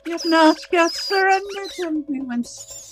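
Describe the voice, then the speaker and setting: Woman acting a cold, high-voiced female torturer. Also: music in the background. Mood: menacing calm. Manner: deliberate, domineering, taunting. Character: cold, menacing female interrogator and torturer of a totalitarian regime. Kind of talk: radio drama acting.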